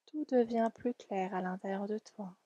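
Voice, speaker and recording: A woman leading a guided relaxation meditation in a soft, soothing, gentle and unhurried way. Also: medium pitch, steady tone, lo-fi sound. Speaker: yoga nidra guide.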